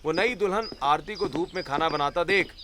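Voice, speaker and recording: Male narrator, slightly high voice, scripted storytelling, light background noise. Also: fairly quick.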